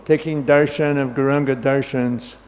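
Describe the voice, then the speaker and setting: An older man teaches a scripture class in a loud, halting voice, wandering through his points with plenty of ums and you-knows. His tone is mellow and soft-edged. He is recorded in a temple hall with a long reverb tail and faint background noise. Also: mid-range voice, steady tone. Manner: loud, says he has a loud voice.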